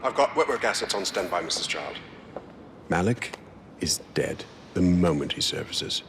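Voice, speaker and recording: A man's voice: deep voice, animated, moderate pace, fairly bright tone, a little hiss or hum. An actor playing a grim, menacing male covert operative in a video game, speaking in a clipped way. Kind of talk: cinematic video game acting.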